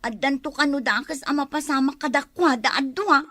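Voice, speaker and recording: A woman's voice: sits high, brisk, pushed and bright, no room sound, studio quality. A woman with an Ilocano accent playing a worried, complaining village wife in an amateur comedy drama. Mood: upset, gossiping.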